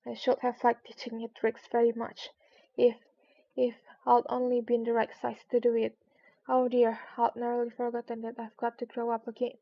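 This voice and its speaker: A polite, earnest storybook girl, voiced by a woman reading aloud, musing to herself. The voice is fairly high with a creaky edge, on a slightly dull recording. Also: monotone, normal speed. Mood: wistful.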